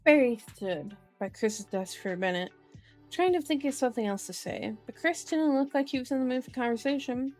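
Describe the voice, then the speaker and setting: A woman's voice: high voice, expressive, fairly quick, a little mellow. A woman doing a casual ASMR book reading, chatting playfully to viewers with informal asides and correcting herself as she reads. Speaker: streamer, reader. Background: background music.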